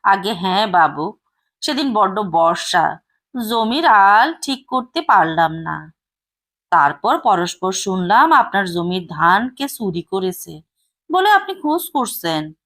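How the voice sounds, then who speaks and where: A woman's voice: medium pitch, big pitch swings, slow, pitched below the performer's own voice, full character voice. Woman voicing a meek village laborer man. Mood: humble. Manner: pleading, soft-spoken. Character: humble honest male village laborer, meek.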